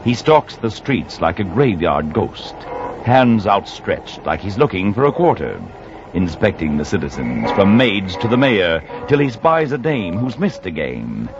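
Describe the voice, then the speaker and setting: A portentous male storyteller, reciting mock-epic verse. His voice is deep and sweeps dramatically. The old radio recording is muffled. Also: big pitch swings, measured pace, over music. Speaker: narrator. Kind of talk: 1940s radio verse narration.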